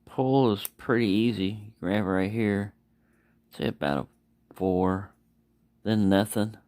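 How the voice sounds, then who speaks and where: A man's voice: lowish voice, takes it slowly, a little mellow, dry recording. A man giving a casual, unscripted product review, rambling and correcting himself as he goes. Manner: rambling, self-correcting, mumbling asides. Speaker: knife reviewer.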